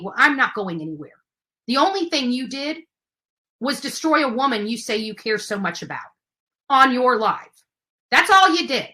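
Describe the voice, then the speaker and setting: A woman hosting a livestream in a bright, sharp voice pitched on the high side. She rants with indignation, leaning hard on her words. Her delivery is confrontational and emphatic, and she is willing to swear. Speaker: livestream host. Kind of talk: impassioned livestream rant.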